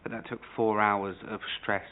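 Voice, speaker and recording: A man's voice: lowish voice, level tone, normal speed, phone-quality sound. A man speaking as a councillor, putting formal questions to officers at a public committee meeting.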